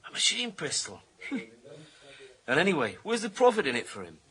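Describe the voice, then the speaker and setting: A sardonic British police detective in a radio crime drama. His voice is fairly high for a man and sharp, with a wry, grumbling delivery thick with slang. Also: huge pitch swings, moderate pace. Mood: musing, sceptical. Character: grumbling, sardonic male police colleague of the inspector. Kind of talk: radio thriller acting.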